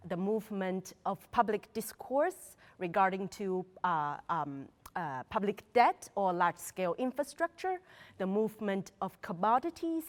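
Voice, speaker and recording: A woman with a Chinese accent, Mandarin her first language, speaks at a middle pitch in a thoughtful interview manner and often pauses with fillers. Her voice carries a long reverb, as if she is recorded in a large hall. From China. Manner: thoughtful, with frequent fillers and restarts. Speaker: research fellow. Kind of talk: interview answers.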